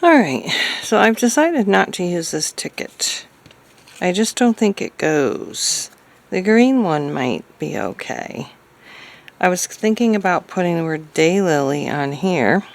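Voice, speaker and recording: A woman chatting casually as she crafts, thinking aloud hesitantly in a hoarse, gravelly voice. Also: lowish voice, animated, unhurried. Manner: hesitant, musing to self. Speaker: craft video maker.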